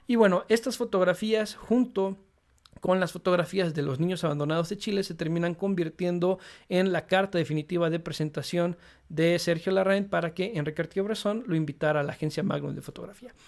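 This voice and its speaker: High-voiced man. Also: a little fast, creaky. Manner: relaxed, frequent fillers "eh" and "este". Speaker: photography channel host. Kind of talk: unscripted explanatory talk.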